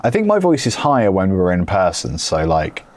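Low-voiced, dry man. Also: wildly swooping pitch, moderate pace, darkish tone. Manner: dry, sarcastic, teasing. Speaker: podcast co-host. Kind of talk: casual chat. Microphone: remote call setup.

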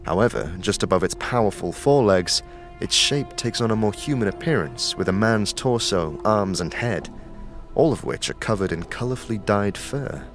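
A male narrator with a very low, deep voice tells a story steadily in the second person. His delivery is descriptive and scripted. Music plays clearly behind him. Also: animated, moderate pace.

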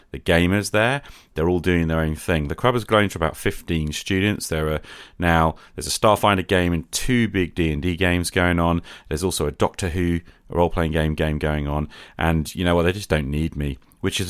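Deep-voiced Englishman hosting a podcast. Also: dry recording, clean studio sound. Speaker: podcast host. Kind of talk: casual solo podcast talk.

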